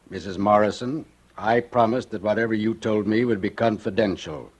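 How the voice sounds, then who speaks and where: A man playing a grave, reserved professional in 1940s radio drama, speaking in a measured, formal way with a husky voice. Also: on the low side.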